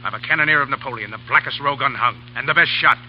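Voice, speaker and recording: A man's voice: medium pitch, rapid-fire, natural voice. A man playing a defiant pirate captain. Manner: commanding, terse and defiant. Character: proud male pirate captain, defiant and loyal. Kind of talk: 1930s radio drama acting. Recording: muffled old radio broadcast with hiss.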